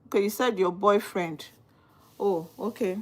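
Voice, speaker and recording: A woman's voice: medium pitch, unhurried. Nigerian woman, young adult, earnest livestream host, rhetorical questions, chatty with viewers. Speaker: livestream host on legal topics. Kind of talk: live-stream talk answering viewer comments.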